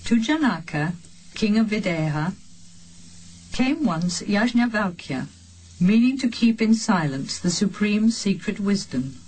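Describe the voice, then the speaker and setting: A woman reading a sacred text as a solemn audiobook, with measured, deliberate phrasing and pauses between phrases. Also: mid-range voice, lively intonation, a little mellow, husky, poor audio. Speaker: reader of a sacred text translation.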